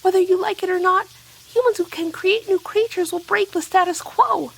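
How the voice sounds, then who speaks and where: A woman's voice: extremely high pitch, normal speed, gravelly. A woman in an audio drama playing a stern, guarded monkey creature who passes judgment.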